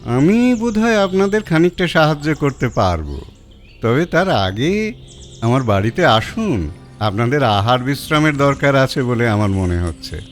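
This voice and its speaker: A man voicing a courteous village gentleman. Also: mid-range voice, sing-song, faint music behind. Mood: polite. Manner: polite, measured.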